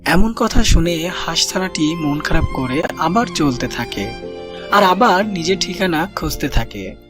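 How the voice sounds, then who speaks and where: A man narrating a children's cartoon story in a gentle storybook manner, speaking to young listeners as friends. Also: on the high side, animated, a little slow. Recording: voice-over with loud background music.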